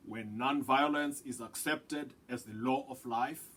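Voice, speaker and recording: A man's voice: medium pitch, a little slow, fairly bright tone. Man playing a firm, emphatic old grandfather. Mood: firm, questioning. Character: wise, firm elderly Indian grandfather and spiritual teacher.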